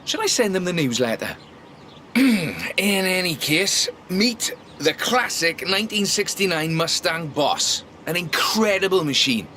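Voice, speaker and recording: A man's voice: sits high, big pitch swings, measured pace, pushed and bright, slight background noise, exaggerated voice. A man playing a breezy, self-satisfied car mechanic and drift club leader in a video game, with a wry, boastful manner. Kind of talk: video game character dialogue.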